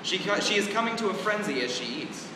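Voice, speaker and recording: A man's voice: high-pitched, expressive, brisk. A man reading out scripted stage directions as a narrator at a staged reading of a play. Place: theatre or hall staged reading. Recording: very echoey hall, distant mic, noisy.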